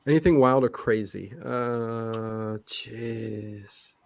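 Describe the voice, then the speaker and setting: A man's voice: on the low side, steady tone, extremely slow, no room sound. A middle-aged man chatting casually on a livestream as a show host, talking in a rambling, self-interrupting way.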